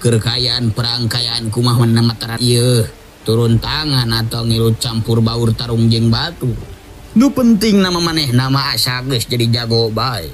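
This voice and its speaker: A man's voice: medium pitch, normal speed. A man with a Sundanese accent narrating a folktale in a dramatic oral storytelling style. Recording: music under the voice.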